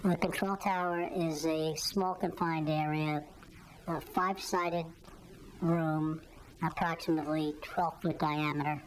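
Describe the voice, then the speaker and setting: Female interviewee, very deep register, monotone and measured, faint noise behind. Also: low-quality recording. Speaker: whistleblower interviewee.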